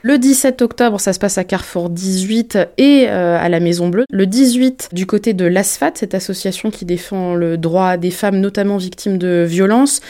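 A woman hosting a radio interview, asking questions and briskly announcing event dates and places. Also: mid-range voice, mellow. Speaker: radio host.

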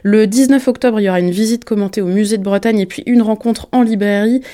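Mellow-voiced woman radio host. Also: mid-range voice, steady tone. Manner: brisk, listing dates and places. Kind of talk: radio interview and event announcements.

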